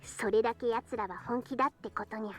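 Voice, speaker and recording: A woman's voice: a little high, steady tone, very fast, natural voice. A woman voicing a young, playful catgirl in a visual novel, cutesy, with cat-like sentence endings. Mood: dramatic, playful. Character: cute playful catgirl, young, earnest co-conspirator. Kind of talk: Japanese visual novel voice acting. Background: game music.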